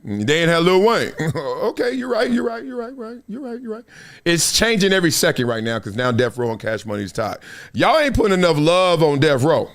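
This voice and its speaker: A middle-aged man hosting a casual livestream, reading viewer comments and news aloud in a playful, animated way. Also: a little high, a little fast. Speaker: show host. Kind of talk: casual live-stream commentary.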